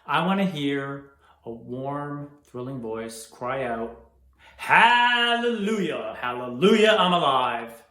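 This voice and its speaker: A man performs a disillusioned, restless young man on stage. He sounds sardonic and theatrical, with clear room echo around the voice. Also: medium pitch, slow pace, a bit bright. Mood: restless, yearning. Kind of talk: stage drama monologue. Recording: echoey room.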